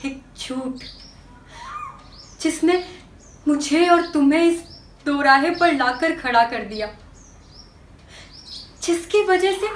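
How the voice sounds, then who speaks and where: A woman acting an anguished young woman confessing a secret in a dramatic stage monologue, halting and sorrowful with long pauses. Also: high voice, slight background noise. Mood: grave, confessing. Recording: echoey room, distant microphone.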